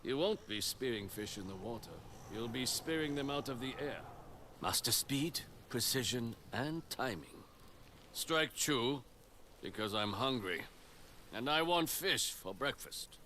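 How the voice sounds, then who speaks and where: An actor playing a stern, gruff middle-aged spear master and resistance leader in a video game, blunt and wry as he instructs. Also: mid-range voice, expressive, measured pace, pushed and bright, a little hiss or hum. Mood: stern, instructing. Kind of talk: dramatic video game acting.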